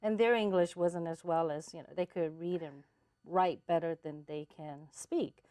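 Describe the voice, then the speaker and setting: A woman's voice: fairly low pitch, moderate pace, warm tone. Attentive woman interviewer, conversational. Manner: attentive, frequent short acknowledgements. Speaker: talk show host, interviewer.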